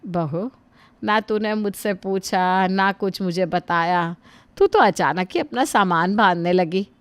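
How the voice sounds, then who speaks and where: Picture a woman acting as a wounded old mother-in-law in a family drama. She grumbles and pleads in a mid-pitched, steady voice, recorded close and dry. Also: slow pace, smooth, no room sound, natural voice. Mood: hurt. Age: older. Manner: wounded, scolding, sighing to God. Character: aging mother-in-law, put-upon and complaining.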